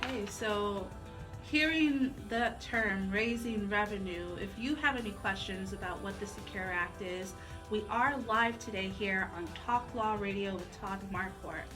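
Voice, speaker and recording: A woman's voice: medium pitch, measured pace, over music. An American woman co-hosting a live talk radio show. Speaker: radio co-host.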